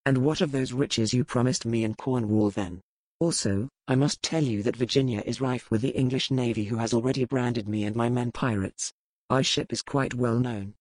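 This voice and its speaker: A man's voice: medium pitch, a little mellow, dry recording, natural voice, low-quality recording. A man playing a stern, cold pirate captain. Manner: measured, cold, formal period speech. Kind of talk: audiobook character reading.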